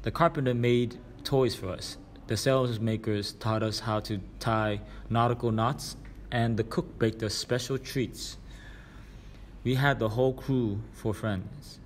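A man's voice: medium pitch, fairly even intonation, measured pace, slight background noise. A man reading a children's storybook aloud as scripted narration. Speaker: reader.

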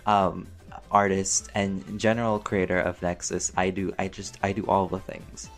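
A man's voice: low voice, little pitch movement, measured pace. A man rambling casually through a Q&A, chatty, playful and slangy, often interrupting himself and prone to giggling. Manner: giggly, often breaking into laughter. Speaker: channel creator and voice actor answering fan questions. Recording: music behind the voice.

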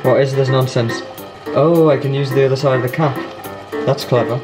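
A man's voice: medium pitch, steady tone, a little fast, music in the background. Bemused young man cooking at home. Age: young adult. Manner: bemused, self-mocking, chatty. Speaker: vlogger. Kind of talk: casual cooking vlog. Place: home kitchen, indoors. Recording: cooking noise behind.

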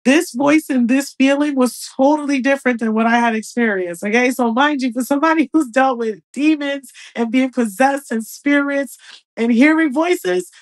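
A woman's voice: high voice, moderate pace. Earnest woman giving personal testimony. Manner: earnest, emphatic, acts out remembered dialogue. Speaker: guest sharing personal testimony. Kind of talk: personal testimony, conversational storytelling. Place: small furnished room at home, indoors.

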